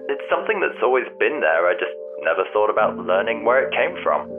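A man's voice: fairly low pitch, very animated, fast talker. An actor playing a caring, bookish man in a naturalistic audio drama, earnest and wry, heard through a telephone filter. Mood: informative, earnest. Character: caring, bookish man missing his departed friend. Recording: tinny phone line, music behind.

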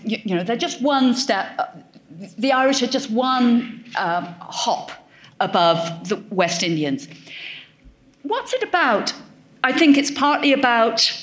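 A woman's voice: mid-range voice, fairly bright tone. Thoughtful woman answering audience questions. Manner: thoughtful, self-correcting, wry. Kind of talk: live Q&A talk. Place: event hall with audience.

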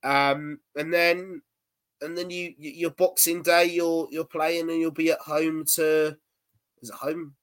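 A man's voice: fairly high pitch, level tone, a little slow, a bit bright. A young man co-hosting a casual football podcast, rambling along and repeating words as he restarts his sentences. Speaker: podcast co-host.

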